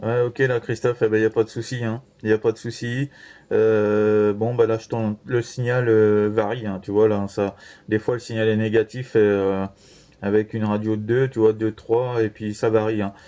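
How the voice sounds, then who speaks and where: A man chatting casually as a radio operator, halting and reassuring, often tagging his phrases. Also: lowish voice, little pitch movement, dark tone. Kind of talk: casual two-way radio chat.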